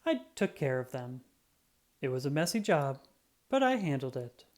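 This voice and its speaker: Animated storybook narrator with very lively pitch. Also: moderate pace. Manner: expressive, dramatic, acts out sound effects. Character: self-important cat detective telling his tale. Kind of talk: children's picture book read-aloud.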